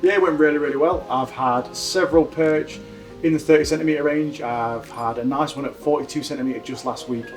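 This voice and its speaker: Man, from South Yorkshire, quick talker, upbeat, distant mic, faint music behind. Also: a little high, steady tone. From South Yorkshire, England. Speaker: angler competitor. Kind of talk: interview answers.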